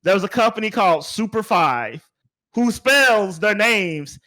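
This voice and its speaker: A man's voice: sits high, lively intonation, a little slow, pushed and bright. A man hosting a podcast in casual, opinionated chat, speaking emphatically and repeating words for emphasis. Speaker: podcast host.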